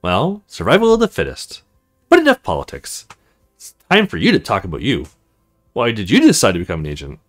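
A man's voice: fairly low pitch, huge pitch swings, brisk, faint music behind. A man voicing a frank, chatty young female agent in a visual novel, with a teasing, conversational manner. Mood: curious.

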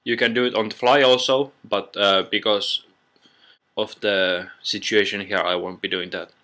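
A man narrating an informal software tutorial in a halting, step-by-step way, recorded on a built-in computer microphone. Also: lowish voice, fairly even intonation. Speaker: tutorial presenter.

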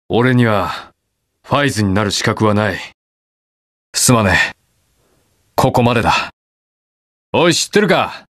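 An actor playing a stubborn, protective young masked hero in a video game, speaking curtly and brusquely. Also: male voice, medium pitch, a bit bright, dry recording, studio quality. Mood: defeated, then solemn, resolute. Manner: curt, brusque, rough casual speech. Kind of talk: video game voice lines, battle quips.

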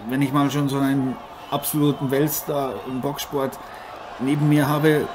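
A man's voice: mid-range voice, little pitch movement, normal speed, close to an everyday voice. A man playing an admiring, chummy ex-champion boxer commentating a fight live in a radio play, repeating his phrases and addressing listeners as friends. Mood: chummy, reflective. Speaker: sports commentator. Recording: noisy arena crowd behind the voice.

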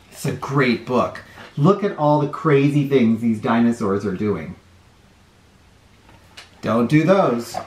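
A middle-aged man hosting a children's read-aloud, reading a storybook expressively with drawn-out phrasing. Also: mid-range voice. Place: small bright living room or playroom, indoors. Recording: roomy indoor echo.

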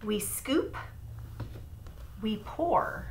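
A middle-aged woman reading a children's picture book aloud in short, deliberate phrases with pauses between them. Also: on the high side, lively intonation. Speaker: storybook reader. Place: small room at home beside an open window. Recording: noisy room, home webcam recording. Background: clear background noise, room ambience.

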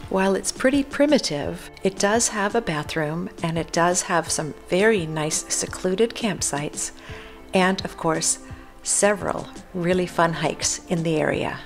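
A woman's voice: lowish voice, measured pace, faint music behind. An older woman giving a scripted voice-over for a hiking video, informative and guide-like. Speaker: hiking vlogger narrator.

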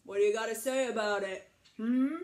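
A woman's voice: fairly high pitch, normal speed, darkish tone, close to an everyday voice. A woman voicing a big, strong, cocky male goat in a children's story, blunt and challenging. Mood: defiant. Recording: echoey room.

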